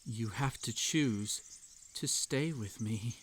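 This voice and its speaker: An obsessive male bunny spirit acted by a man speaks in a slow, hypnotic coax, soothing yet desperate. It is a roleplay audio drama, with birdsong and insects faintly behind the voice. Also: medium pitch, slight background noise, lightly acted. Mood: resigned, pleading. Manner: slow, soothing, coaxing delivery. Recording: nature ambience behind the voice.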